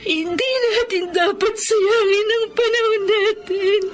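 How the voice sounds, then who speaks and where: A woman's voice: very high voice, fairly even intonation, a little slow, over music. A woman playing an earnest, respectful young housemaid and daughter in a Filipino radio drama, with a Filipino accent and an emotional delivery. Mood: tearful remorse.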